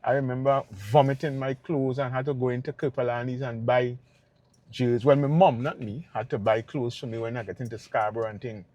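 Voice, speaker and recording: A man from Tobago with a Tobagonian accent, talking casually to his viewers in a relaxed, rambling way. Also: medium pitch, a little fast, no echo. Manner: relaxed and rambling, checks in with "right?". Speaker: vlogger. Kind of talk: casual vlog talk to viewers.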